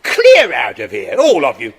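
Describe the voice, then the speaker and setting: A man's voice: on the high side, huge pitch swings, unhurried, creaky, fairly bright tone, husky, heavily acted. An actor playing a hostile young man with a curt, dismissive manner in a children's audio drama. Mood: angry, dismissive. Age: young adult. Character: surly, hostile young man staying at a hotel.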